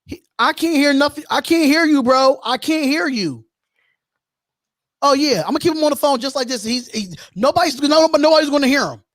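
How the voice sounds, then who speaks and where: A man's voice: extremely high pitch, normal speed, fairly bright tone, no room sound. A man hosting a casual live sports call-in stream, talking in slangy, repetitive phrases. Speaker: host of a sports call-in show.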